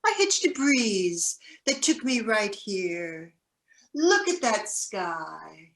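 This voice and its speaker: A woman's voice: mid-range voice, sing-song, very slow, heavily acted. A woman acting as a naive, nervous fledgling seagull in an amateur virtual play, earnest and wide-eyed. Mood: dreamy.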